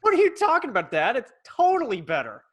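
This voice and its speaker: A man's voice: extremely high pitch, huge pitch swings, fast, a bit bright, a little hiss or hum, heavily acted. A man playing a cocky, mock-solemn little brother posing as a kung fu hero in a sitcom table read. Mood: eager. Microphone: video call.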